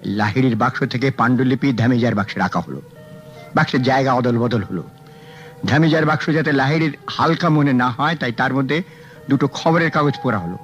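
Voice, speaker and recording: An actor playing a sly, scheming book collector who mocks and dodges a detective's questions. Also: male voice, mid-range voice, a little fast, lightly acted. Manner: mocking, evasive, sly. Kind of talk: 1970s radio drama acting. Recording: dull old radio recording, faint music.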